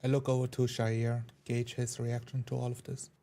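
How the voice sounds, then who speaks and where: A man's voice: medium pitch, completely flat delivery, moderate pace, crowd noise. A man casually describing his character's actions as a player in a tabletop roleplaying game.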